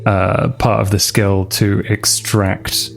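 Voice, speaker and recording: A man casually describing his character's moves as a roleplaying game player, in a halting, informal way. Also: lowish voice, flat delivery, vocal fry, soft background music. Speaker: player on an actual play podcast.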